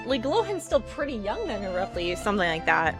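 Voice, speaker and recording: A woman's voice: mid-range voice, very animated, a little fast. A woman game streamer chatting casually on a livestream in a rambling, giggly manner. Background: video game music.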